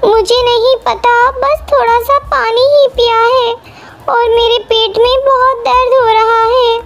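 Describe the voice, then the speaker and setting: A woman's voice: very high voice, flat delivery, slow, a bit bright, soft background music, voice pushed up, heavily acted. A woman voicing a small female bird in pain, pleading in a children's cartoon. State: in pain, as acted. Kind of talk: Hindi children's cartoon acting.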